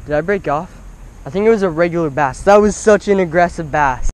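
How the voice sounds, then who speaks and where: Excitable young man narrating his fishing. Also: fairly high pitch, fairly quick. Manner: excitable, bursts into exclamations on each catch. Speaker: fishing vlogger. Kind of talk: live running commentary while fishing. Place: outdoors beside a pond.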